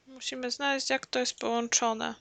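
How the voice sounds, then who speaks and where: Bright, creaky Polish woman commenting on a game. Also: on the high side, level tone, a little fast, slight background noise. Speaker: gameplay streamer translating the dialogue.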